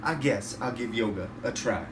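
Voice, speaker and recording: A man's voice: lowish voice, moderate pace, voice pushed down, close to an everyday voice. A man voicing a sly, hungry storybook wolf with a coaxing, theatrical delivery. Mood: defeated. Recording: roomy, distant, noisy home recording.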